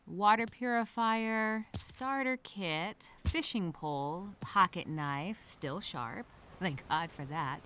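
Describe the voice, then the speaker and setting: A woman's voice: fairly low pitch, takes it slowly, few pauses, fairly bright tone, clear, resonant tone. A woman playing a nervous, wry castaway who rambles and reassures herself in a spoken journal monologue. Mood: thoughtful, subdued. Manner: rambling, nervous, self-questioning, sarcastic asides. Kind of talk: first-person dramatic monologue as spoken journal.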